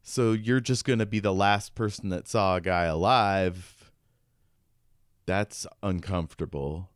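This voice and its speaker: A man with a low, smooth voice co-hosts a book podcast in an informal, questioning way. The sound is close and dry, and he muses aloud conversationally. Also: a little slow, no echo. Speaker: podcast co-host. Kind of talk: casual book discussion.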